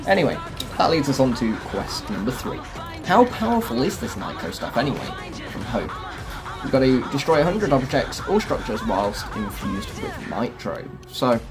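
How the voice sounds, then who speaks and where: Man casually commentating over game music. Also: medium pitch. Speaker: gaming video host. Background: clear music and game engine noise.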